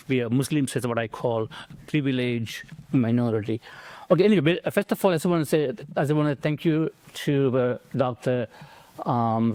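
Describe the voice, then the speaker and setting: A middle-aged man from Sri Lanka whose first language is Tamil, speaking with a Sri Lankan accent and giving an informal conference talk in a conversational, halting way with many repeated words. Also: mid-range voice, darkish tone, no echo. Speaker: academic presenter.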